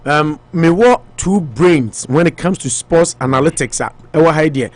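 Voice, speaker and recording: Ghanaian male radio host, high-ish voice, casual and halting, dry booth. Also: no echo. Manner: mixes Twi and English, hesitant with fillers. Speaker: radio morning show host. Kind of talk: casual sports talk on radio.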